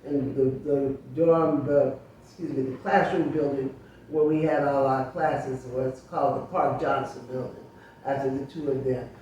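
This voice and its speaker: An older woman in her seventies or beyond, speaking as an interview guest in a reflective, emphatic and deliberate storytelling way. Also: very deep voice, fairly even intonation, dark tone. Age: 70s or older. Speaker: interview guest, sociologist and teacher. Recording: very echoey room, distant mic, faint noise.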